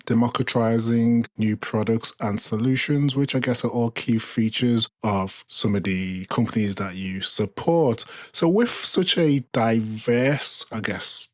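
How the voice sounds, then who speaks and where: A man's voice: lowish voice, dry recording, studio quality. A man hosting a podcast interview, summing up the guest's points before asking his next question. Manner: affirming, recaps before asking. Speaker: podcast host.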